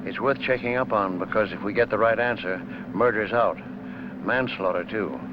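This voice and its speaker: An actor playing a calm, shrewd middle-aged lawyer, measured and authoritative, in 1950s film noir style. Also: male voice, lowish voice, close to an everyday voice. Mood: reasoning. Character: calm, shrewd male lawyer held at gunpoint. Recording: muffled old film soundtrack, loud engine noise.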